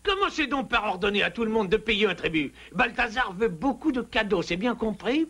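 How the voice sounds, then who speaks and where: High, husky cartoon henchman. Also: lively intonation, brisk, sharp tone, heavily acted. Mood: bossy, threatening. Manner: officious, bossy. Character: scheming, bossy henchman of a lion, threatening prison. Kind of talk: French animated children's cartoon acting.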